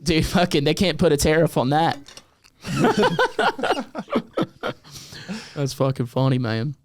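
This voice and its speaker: A man's voice: on the high side, very animated, slow pace, slight background noise. A man from Mississippi with a Southern US accent, hosting a casual chat in a laid-back, slangy, swear-heavy way. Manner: laid-back, slangy, swears a lot, calls people dude. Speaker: host.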